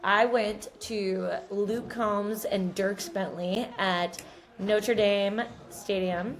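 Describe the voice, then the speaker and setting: Woman, thirties, American, chatty podcast co-host with an emphatic, informal storytelling style and an average pitch. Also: steady tone, very slow. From Missouri, United States. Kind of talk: casual catch-up chat.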